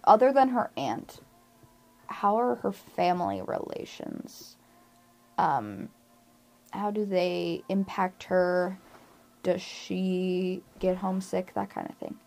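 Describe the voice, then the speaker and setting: A woman's voice: fairly low pitch, expressive, slow, vocal fry, darkish tone, faint music behind. A woman asking questions as an interviewer in a casual, informal way.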